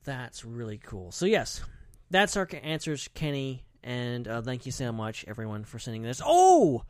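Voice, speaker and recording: A man's voice: mid-range voice, wildly swooping pitch, normal speed, a little mellow, dry recording. Casual male podcast co-host, close and dry. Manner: informal, talks in loose fragments peppered with "like". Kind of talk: casual pop-culture podcast chat.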